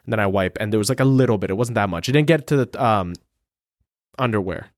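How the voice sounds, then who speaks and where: A man's voice: fairly low pitch, fast, dry recording. Rambling, foul-mouthed young man, dry sound. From Ukraine. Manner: rambling, crude and profane. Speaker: podcast co-host. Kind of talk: casual chat.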